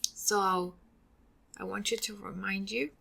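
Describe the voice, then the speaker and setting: Slow, bright-voiced Swedish-accented woman. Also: mid-range voice, steady tone, vocal fry. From Sweden. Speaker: podcast host. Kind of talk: solo podcast talk.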